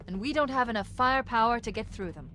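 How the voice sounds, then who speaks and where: A woman voicing a brisk, poised military artificial intelligence in a video game, precise and analytical in delivery. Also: a little high, a little hiss or hum. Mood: focused, explaining. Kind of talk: dramatic game cutscene acting. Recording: loud game soundtrack music behind the voice.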